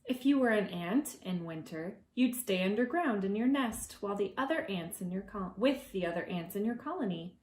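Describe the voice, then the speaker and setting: A woman reading aloud to preschoolers as their teacher, expressive and gentle, drawing out words and adding conversational asides. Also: mid-range voice, roomy sound, slight background noise. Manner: gentle, deliberate, drawing out words for young listeners.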